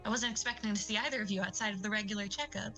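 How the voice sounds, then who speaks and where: A woman playing a polite, measured eye doctor in an audio drama, professional and tactful. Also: medium pitch, pushed and bright, soft background music, natural voice.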